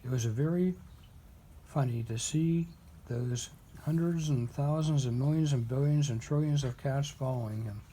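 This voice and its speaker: A man reading a storybook aloud as a father would, with deliberate, emphatic storytelling. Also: mid-range voice, warm tone, slight background noise.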